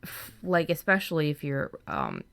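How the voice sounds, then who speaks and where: Woman podcast cohost, low voice, chatty informal talk, really dry sound no echo. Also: wildly swooping pitch, normal speed, creaky, a bit bright. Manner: informal, conversational. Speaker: podcast co-host. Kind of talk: casual discussion of fiction writing.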